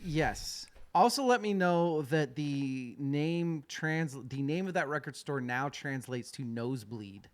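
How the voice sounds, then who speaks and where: A man's voice: a little high, expressive, slow pace, hardly any pauses. A man in his thirties hosting a music podcast, joking and swearing freely in casual chat. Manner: profane, joking. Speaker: podcast host.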